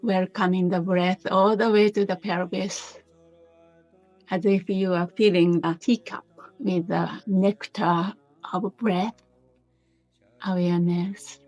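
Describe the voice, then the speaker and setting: A woman teaching a guided meditation in a gentle, unhurried manner, pausing between short phrases. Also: lowish voice, steady tone, poor audio.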